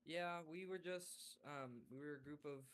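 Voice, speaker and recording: A man playing a tabletop game, improvising his character's lines casually in a halting, hesitant way. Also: fairly high pitch, a bit bright.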